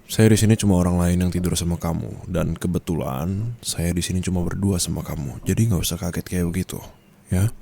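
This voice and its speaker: Male actor as a strict office boss, low-pitched voice, commanding, teasing, flirty, possessive, intimate ASMR roleplay. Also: gravelly, dark tone. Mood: teasing, calm. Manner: commanding, teasing, drawn-out questioning tags.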